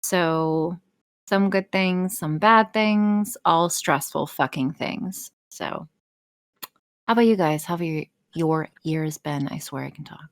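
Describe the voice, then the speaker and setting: A woman's voice: low voice, slow pace. A woman chatting casually as a podcast guest, self-deprecating and swearing freely. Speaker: podcast guest, audio creator.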